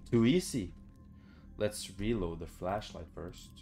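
Low-voiced young man, unhurried gamer. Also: expressive, mellow, soft background music. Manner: muttering to himself in short phrases, casual swearing. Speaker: gamer, let's play host. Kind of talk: casual horror game commentary. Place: small room at home.

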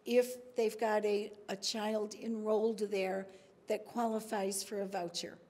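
A woman's voice: medium pitch, little pitch movement, measured pace, warm tone. A woman in the audience at a forum, politely and carefully asking a question about figures.

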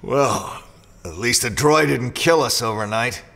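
An actor playing a cynical mercenary pilot in a sci-fi audio drama, dry and sarcastic. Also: male voice, mid-range voice, lively intonation, a little slow, gravelly, fairly bright tone. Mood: sardonic, impatient.

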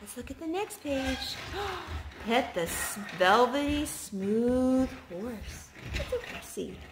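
Animated woman reading to little children. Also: high-pitched. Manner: animated, child-directed, with gasps and drawn-out words. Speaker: parent reading to young children. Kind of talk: children's picture-book read-aloud. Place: living room at home, indoors. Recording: home recording with background noise.

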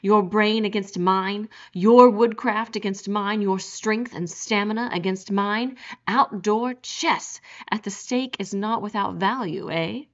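A woman's voice: mid-range voice, moderate pace, lightly acted. A woman voicing a suave, menacing aristocratic general with a genteel, relishing manner, on a dull lo-fi recording. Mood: courtly, menacing.

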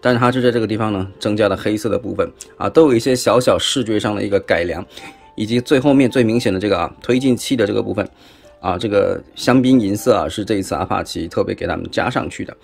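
A man's voice: on the low side, quick pace, gravelly, slightly rough, soft background music. A man with a Taiwanese accent giving a chatty, casual hands-on toy review, often tossing in rhetorical questions. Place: indoors at a tabletop.

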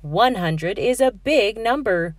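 A woman's voice: mid-range voice, lively intonation, takes it slowly, no echo, clean studio sound. A woman voicing a cheerful, encouraging female flamingo schoolteacher in a children's storybook. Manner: bright, encouraging teacherly exclamations.

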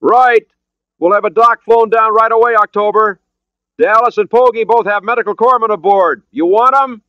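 A male narrator performs an American sailor hailing from a small boat in a thriller audiobook. The voice is loud, high and clipped, in a dry, slightly dull recording. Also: normal speed, no echo, lightly acted. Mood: loud, calling. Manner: calling out, clipped. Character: loud, gruff American navy boatman hailing ship.

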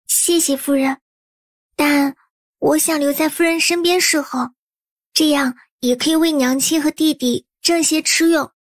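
A woman's voice: high-pitched, steady tone, a little slow, dry recording. A woman playing an earnest, humble teenage maidservant who pleads deferentially in a historical audiobook drama. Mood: grateful, pleading.

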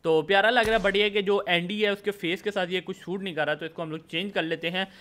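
Man, Pakistani accent, fairly high-pitched, gaming host, casual voice-over, English words mixed in. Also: fairly even intonation, quick pace, a bit bright. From Lahore, Pakistan. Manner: informal, mixes in English words. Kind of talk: casual game commentary voice-over.

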